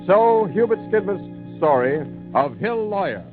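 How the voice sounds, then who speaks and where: A man's voice: sits high, big pitch swings, a little slow. A man announcing a radio drama in formal, dramatic 1940s scripted narration. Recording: muffled 1940s radio recording. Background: music.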